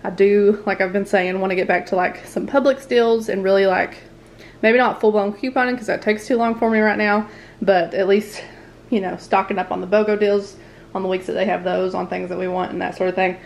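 A woman's voice: mid-range voice, fairly even intonation, fairly quick, vocal fry, a little mellow. A woman, chatting casually through a grocery haul at home, rambling and laughing often. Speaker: family vlogger. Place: home dining room, indoors.

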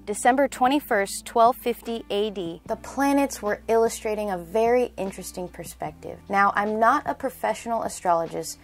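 A young woman presenting scripted documentary narration in a measured, explanatory manner. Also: on the high side. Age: young adult.

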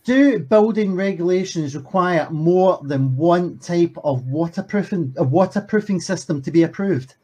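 A man hosts a question session on a video call, his voice sitting fairly high for a man. He reads each audience question slowly, with frequent ums and restarts. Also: animated, a little mellow, breathy edge, dull audio. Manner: hesitant, filler-heavy, reads questions slowly. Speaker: webinar host reading audience questions. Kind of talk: hosting a webinar Q&A.

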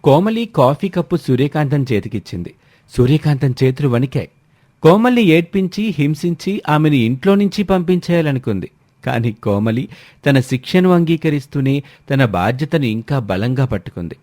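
Casting: male narrator for scripted moral stories, mellow tone, mid pitch, dry studio-like sound. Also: expressive, normal speed, no echo.

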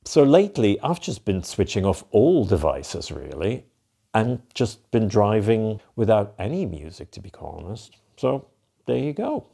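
Low-voiced Flemish man chatting to camera. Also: very animated, unhurried, creaky, mellow, breathy edge. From Belgium (Flanders). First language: Dutch. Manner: chatty, filler-heavy, repeats "to be quite honest". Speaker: van life vlogger. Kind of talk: casual Q&A to camera. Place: cosy living room, indoors. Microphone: lapel mic.

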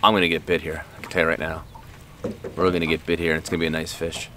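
A man's voice: a bass voice, level tone, very fast, bright, forceful tone, slight background noise. A middle-aged man hosting a fishing vlog in casual, enthusiastic, folksy talk, recorded out on an open boat. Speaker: fishing show host.

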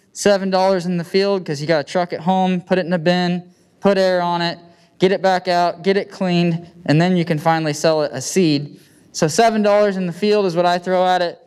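A man's voice: high-pitched, smooth. A man with a Midwestern American accent giving an informal, plain-spoken presentation as a farmer, speaking into a handheld microphone. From United States. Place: indoor meeting room with seated audience.

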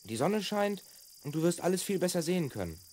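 Lively, self-assured boy time traveler. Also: high-pitched, fairly quick. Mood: explanatory, assured. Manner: explanatory, instructing, assured. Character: confident twelve-year-old boy time traveler from the future. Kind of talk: 1970s German children's audio drama acting.

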